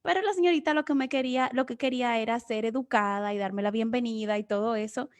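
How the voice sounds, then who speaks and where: Woman, Dominican accent, somewhat high pitch, Bible teaching, earnest and explanatory, remote video call. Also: fast. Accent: Dominican Spanish. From Dominican Republic. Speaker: guest speaker. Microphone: remote video session.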